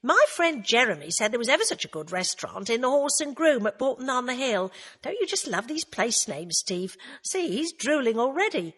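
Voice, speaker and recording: A camp, flamboyant man, put on by a woman narrator, chatters away in a high, sing-song voice that swoops and climbs. He teases and fusses theatrically, with a slightly breathy edge. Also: big pitch swings, normal speed, few pauses, a bit bright, full character voice. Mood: teasing, camp. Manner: flamboyant, teasing, high-flown. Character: camp, chattering middle-aged man, joke-teller.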